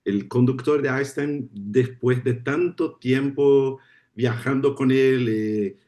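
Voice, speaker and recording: A Brazilian man whose first language is Portuguese, opening a lecture by video call in friendly, halting Spanish full of repeated words. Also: mid-range voice, a little mellow. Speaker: guest speaker. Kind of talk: informal talk opening a lecture.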